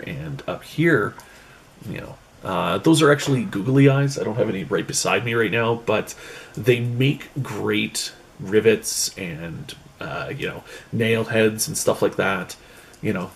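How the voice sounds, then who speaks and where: A man in his thirties with a low, gravelly voice and a stuffy, nasal tone from a cold talks casually through a cosplay prop build. He rambles with plenty of fillers as he goes step by step. The desk camera mic in his small bedroom leaves a faint hiss behind him. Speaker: cosplay vlogger. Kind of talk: casual show-and-tell vlog.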